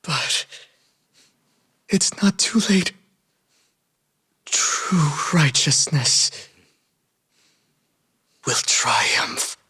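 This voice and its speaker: An actor voicing an anguished, fanatical man who feels betrayed, breathy and breaking, in a video game cutscene. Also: fairly high pitch, animated, very slow, pauses often, bright, forceful tone, full character voice. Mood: anguished, fervent.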